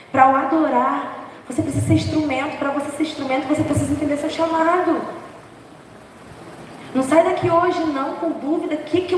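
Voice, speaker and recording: A young woman preaching an informal sermon, chatty and humorous, laughing at herself, with a hoarse voice. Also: sits high, a little hiss or hum. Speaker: preacher from the dance ministry. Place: large church hall. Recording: echoey church hall from far off.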